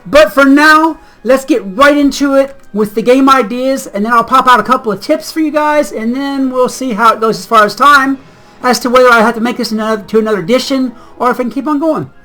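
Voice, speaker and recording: A woman hosting a casual gaming vlog, slightly hoarse as if getting sick, chatty and rambling and easily sidetracked. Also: high voice, brisk, sharp tone, faint music behind, poor audio. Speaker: gaming channel host.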